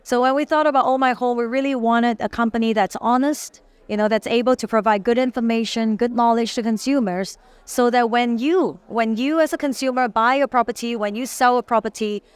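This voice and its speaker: A woman, a company CEO pitching her business in an interview, polished but with frequent fillers. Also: brisk, few pauses, clear, resonant tone, no echo. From Singapore. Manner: polished, promotional, uses many fillers.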